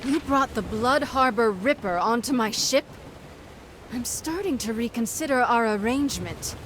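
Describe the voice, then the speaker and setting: A woman's voice: on the high side, expressive, moderate pace, fairly bright tone, a little hiss or hum. A woman playing a hard-bitten pirate captain in a video game, speaking in a steely, sardonic and threatening way. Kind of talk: video game character acting.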